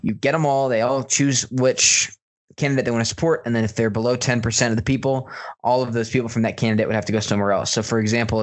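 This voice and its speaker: A man co-hosting a podcast, explaining things step by step in an informal, hedging way. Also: fairly low pitch. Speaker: podcast co-host. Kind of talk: casual explanatory podcast chat.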